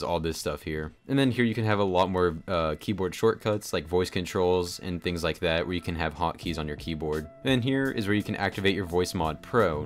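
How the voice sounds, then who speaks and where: A man narrating a casual software tutorial in a chatty, informal, explanatory way. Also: low voice. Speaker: tutorial host. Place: voice-over on a screen recording. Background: background music.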